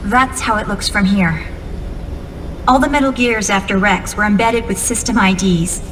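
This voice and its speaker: An actress playing an earnest, composed young female military officer in a video game, giving a measured briefing. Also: medium pitch, close to an everyday voice. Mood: serious, informative. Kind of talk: cinematic video game dialogue. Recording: long reverb, clear background noise.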